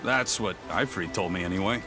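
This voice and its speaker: A man's voice: low-pitched, a little fast, music in the background, lightly acted. Man playing a blunt, emphatic pirate. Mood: matter-of-fact. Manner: matter-of-fact, emphatic, dramatic. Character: blunt, stern, proud adult pirate. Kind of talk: English video game voice acting.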